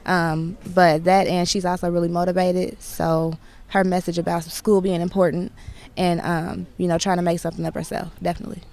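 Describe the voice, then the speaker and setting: An actress answering interview questions in a measured, steady way. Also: female voice, lowish voice, vocal fry.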